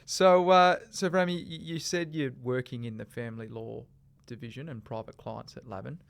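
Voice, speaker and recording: A man with a gravelly, creaky edge to his voice hosts a podcast interview. He speaks in a friendly way, stumbling and repeating words as he builds up long questions. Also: mid-range voice, fairly even intonation, moderate pace. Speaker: podcast host.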